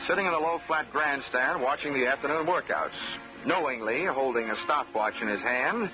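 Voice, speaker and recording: A dry, wry insurance investigator, played by a man in a 1940s radio drama. His voice sits at an ordinary pitch, with easy, knowing narration. The broadcast is muffled, with music under it. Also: normal speed, lightly acted.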